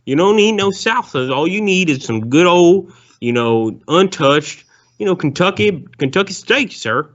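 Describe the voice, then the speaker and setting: A man's voice: sits high, lively intonation, unhurried, a bit bright. A man voicing a rambling, bigoted Southern ex-convict on a prank call, with a folksy drawl and calling everyone sir. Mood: ranting. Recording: dull lo-fi phone call.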